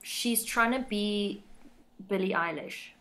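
Bright-voiced woman singer chatting eagerly. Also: takes it slowly, rough recording. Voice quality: high voice. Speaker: band singer. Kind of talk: casual interview chat.

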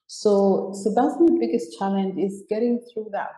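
A woman's voice: medium pitch, moderate pace, dark tone, echoey room, poor audio. A woman born in Jamaica, speaking earnestly as an interview guest. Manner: earnest, repeats herself, fillers like "you know". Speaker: guest, women's mentor. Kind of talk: conversational interview.

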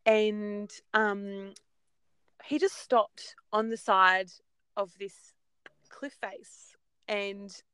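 A woman's voice: medium pitch, drawn-out words, long pauses, clear, resonant tone, no room sound. A woman in her thirties with an Australian accent, chatting casually and telling stories with lots of ums. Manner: chatty, animated storytelling with frequent ums. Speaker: guest. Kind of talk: casual chat.

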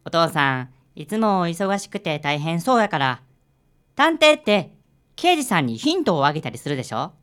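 A woman's voice: lowish voice, very animated, moderate pace, clean tone. A woman voicing an earnest, eager little schoolboy with a Kansai accent in a radio drama. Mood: sincere.

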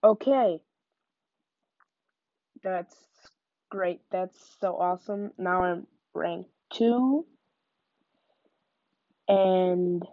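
Slow-talking young woman with a cold. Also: fairly low pitch, no room sound, phone-quality sound. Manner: chatty, narrates each move. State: nasal from a cold. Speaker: gaming podcaster. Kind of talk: live gameplay commentary.

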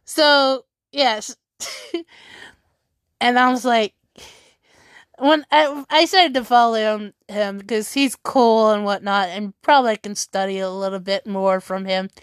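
A woman's voice: on the high side, steady tone, takes it slowly, clean tone, no echo, studio quality. A woman chatting casually to her viewers, rambling and correcting herself as she goes.

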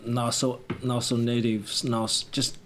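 A man's voice: medium pitch, level tone, normal speed, fairly bright tone, a little hiss or hum. A young man speaking English with a Chinese accent, telling stories in casual conversation and often restarting while he searches for words. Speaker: guest.

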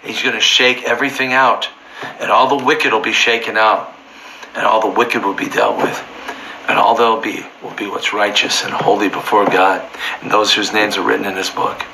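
A slightly hoarse man teaching from the Bible informally, fervent and building to near-shouting emphasis. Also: lowish voice, steady tone, normal speed, background noise.

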